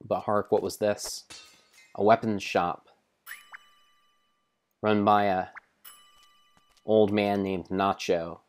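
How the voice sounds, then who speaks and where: A man reading mock-dramatic storybook narration of a game, in a theatrical, solemn way with deliberate pauses. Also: deep voice. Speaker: live streamer narrating a game.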